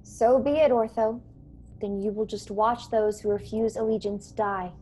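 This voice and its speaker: A young woman playing an earnest, courteous starship officer in a radio play, measured, polite and gently persuasive. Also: medium pitch, steady tone, lightly acted. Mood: grave. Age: young adult. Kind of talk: radio play acting over video call. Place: small bedroom, indoors. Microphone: earbuds on a video call. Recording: clear background noise on video call.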